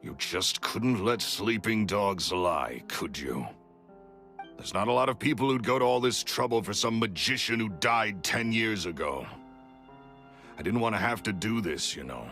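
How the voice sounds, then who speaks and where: An actor playing a bitter, menacing magician villain in a video game, sneering and theatrical. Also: male voice, on the low side, lively intonation, unhurried, a bit bright. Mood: cold menace. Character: bitter, menacing male villain, resentful magician turned murderer. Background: background music.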